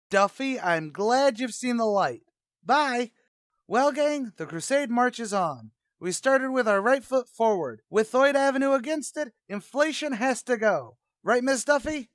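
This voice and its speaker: Man acting a brash, high-pitched, self-important tavern manager. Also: no echo, studio quality. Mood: salesman-like, enthused, then rallying. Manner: pompous, malapropism-prone, colloquial. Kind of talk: old-time radio comedy acting.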